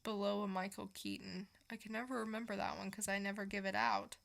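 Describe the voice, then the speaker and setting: A woman's voice: medium pitch, little pitch movement, fairly quick, creaky, a bit bright. A woman co-hosting a podcast, chatting casually in a hesitant, informal way. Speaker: podcast co-host. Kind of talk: casual chat.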